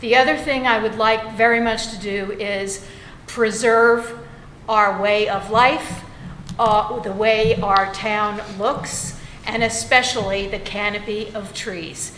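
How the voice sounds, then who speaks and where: A woman giving a measured opening statement as a mayoral candidate, pausing often with fillers. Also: mid-range voice, fairly even intonation, a bit bright, reverberant, a little hiss or hum, low-quality recording. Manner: measured, with frequent filler pauses. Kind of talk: opening statement at a public forum.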